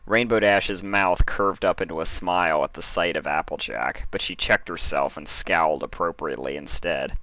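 Deep, bright-voiced male story narrator. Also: moderate pace, hardly any pauses, no echo, low-quality recording. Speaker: fan fiction reader.

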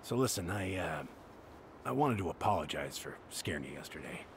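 Kindly apologetic handyman type, middle-aged, lowish voice, halting folksy game dialogue, noisy background. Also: sing-song. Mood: sheepish, sincere. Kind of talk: naturalistic video game acting.

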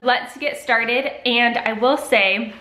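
A woman's voice: fairly high pitch, slow pace, pushed and bright. A woman in her twenties, sniffly with a cold, chatting to the camera as a lifestyle vlogger from her home kitchen. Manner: chatty, gestures a lot with her hands. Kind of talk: casual talk to camera. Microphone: camera at desk distance. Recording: echoey kitchen.

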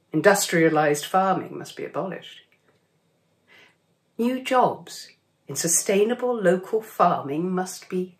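Older woman, declarative reading, low pitch, close webcam at home. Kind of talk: reading a letter aloud. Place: home kitchen.

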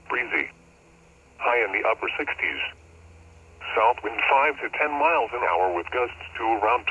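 A man's voice: on the low side, pushed and bright. A synthetic American male announcer reading an automated weather forecast through a radio speaker. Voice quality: synthetic, artificial. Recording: dull noisy handheld radio speaker.

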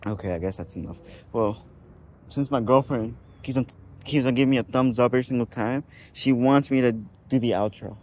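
A man hosting a video with casual, off-the-cuff commentary, halting and often repeating himself. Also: medium pitch, darkish tone. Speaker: vlog host.

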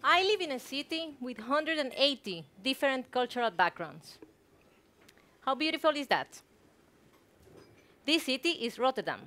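A woman's voice: high-pitched, big pitch swings, moderate pace, pauses often, bright, forceful tone. A woman in her thirties from Guatemala, a native Spanish speaker with a Guatemalan accent, giving a prepared talk on stage into a headset mic. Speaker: conference speaker. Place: stage in a theatre hall.

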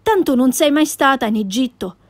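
A woman's voice: high voice, lively intonation, normal speed, no room sound, voice pushed up. A woman voicing a curious, sensible young boy, asking questions in a matter-of-fact way.

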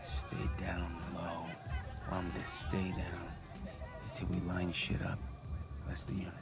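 An actor playing a crude, tough male cop on a stakeout who talks in hushed, swearing tones. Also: deep voice, completely flat delivery, takes it slowly, creaky, a loud crowd, heavily acted. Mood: scornful. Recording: loud music over dialogue.

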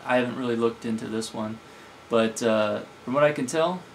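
A man's voice: mid-range voice, normal speed, slight background noise. A man in his thirties giving a casual hands-on tech demonstration as a video host. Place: home lab room, indoors.

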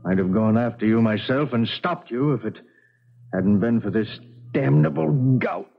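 A man playing a blustering, domineering gentleman father in a radio drama, with a husky voice and pompous, old-fashioned phrasing. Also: mid-range voice, lively intonation, moderate pace. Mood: blustering, indignant. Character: blustering, gout-ridden, domineering gentleman father of colonial times. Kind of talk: 1970s radio drama acting. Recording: dull 1970s radio recording.

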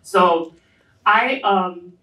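A woman's voice: fairly low pitch, drawn-out words, pushed and bright. Middle-aged woman in a roomy, echoing space. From Hawaii. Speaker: panelist, self-publishing publisher. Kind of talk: informal panel talk with anecdotes. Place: indoor panel event room. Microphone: handheld microphone. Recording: roomy echo, distant event sound.

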